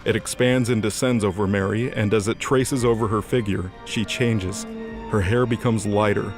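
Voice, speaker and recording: A man narrating a fantasy audio drama from a script in a measured storytelling manner. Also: on the low side, steady tone. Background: music.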